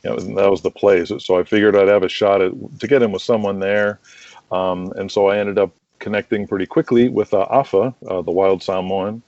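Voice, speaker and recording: Deep, mellow middle-aged American man. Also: steady tone, brisk, no echo, lo-fi sound. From United States. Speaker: interview guest, wrestling promoter and manager. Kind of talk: casual interview storytelling.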